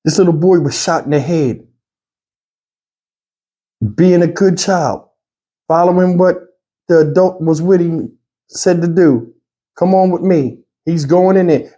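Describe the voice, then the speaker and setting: Man, high-pitched, mellow tone, online news commentator, exasperated, emotional, pleading, unscripted. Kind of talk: off-the-cuff opinion commentary to viewers.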